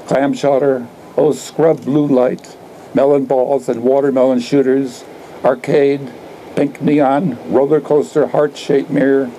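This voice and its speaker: An older man in his seventies giving a live poetry reading at a microphone outdoors, speaking in deliberate, declamatory short phrases. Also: mid-range voice, dark tone, a little hiss or hum, low-quality recording. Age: older, 70s. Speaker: poet reading own work. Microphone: microphone on a stand.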